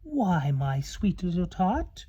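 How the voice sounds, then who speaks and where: A fake Santa, played by a man reading a storybook, sly under a sweet and kindly act. The speech is slow and soothing, with a very lively rise and fall. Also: high-pitched, slightly rough, muffled recording, exaggerated voice. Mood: falsely sweet.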